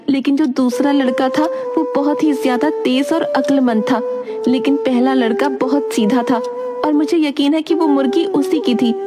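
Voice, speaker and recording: Woman acting a high-voiced clever young village daughter-in-law. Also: natural voice. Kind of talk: Hindi children's cartoon voice acting. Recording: prominent music bed under voice. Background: music.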